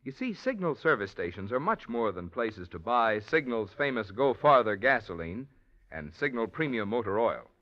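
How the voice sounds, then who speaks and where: Male announcer, polished sales read, lively inflection, muffled old radio. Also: medium pitch, normal speed. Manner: polished, persuasive commercial delivery. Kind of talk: sponsor announcement.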